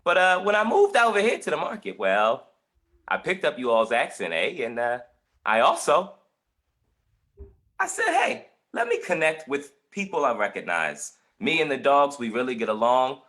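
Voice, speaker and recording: A man's voice: mid-range voice, wildly swooping pitch, moderate pace, fairly bright tone. A man voicing an easygoing male rapper and dog walker with a Canadian accent, raised by wolves, who keeps saying "eh". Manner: says "eh" constantly, laid-back. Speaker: guest musician.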